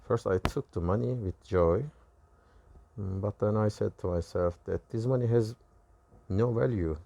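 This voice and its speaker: Man, Turkish accent, native Turkish speaker, mellow dark tone, low pitch, halting delivery, religious testimony. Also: vocal fry, no room sound. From Turkey. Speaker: religious video creator. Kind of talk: personal testimony recounting dreams and visions.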